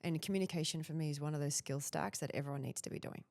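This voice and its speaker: A woman's voice: sits low, rapid-fire, vocal fry. A woman in her thirties with an Australian accent, a guest on a podcast, speaking confidently and directly. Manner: confident, direct, animated storytelling. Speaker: personal branding guest. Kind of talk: casual interview chat.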